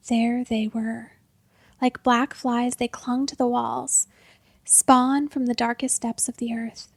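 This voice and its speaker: A woman narrates a storybook in a hushed, breathy voice that often sinks to a whisper. Her tone sits a little high, with a gravelly fry at the ends of phrases. The recording is close and dry, with no room sound. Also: no room sound.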